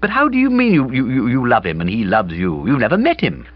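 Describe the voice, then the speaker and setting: A man reading a storybook, voicing a lively, fun-loving young upper-class woman who talks in a breezy, self-assured way. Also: high voice, normal speed, husky, no echo, dull audio, voice pushed up, exaggerated voice. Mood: brisk.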